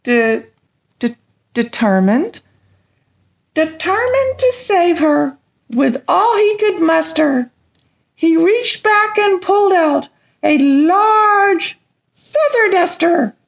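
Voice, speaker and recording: A woman voicing a shy, polite young female dragon puppet who reads haltingly like a beginner. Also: extremely high pitch, big pitch swings, gravelly, heavily acted. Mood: halting, earnest. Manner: halting, stumbles sounding out words.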